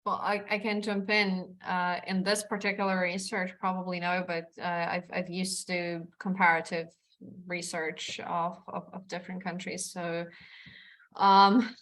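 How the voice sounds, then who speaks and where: A woman's voice: fairly low pitch, little pitch movement, measured pace, fairly bright tone, low-quality recording. Chatty woman panelist, many fillers. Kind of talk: casual academic discussion.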